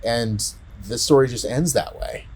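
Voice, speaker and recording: A man in casual podcast chat, retelling a story in a rambling, halting way and often repeating words. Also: mid-range voice, slightly rough. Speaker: podcast co-host.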